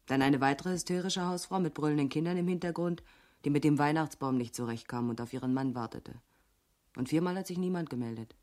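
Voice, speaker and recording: A man's voice: a little high, a little fast, darkish tone, lightly acted. An actor playing a terse, dogged male investigator in a radio crime drama, speaking in a dry manner. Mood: dry, weary. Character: terse, dogged investigator working through phone numbers. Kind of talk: German radio drama acting.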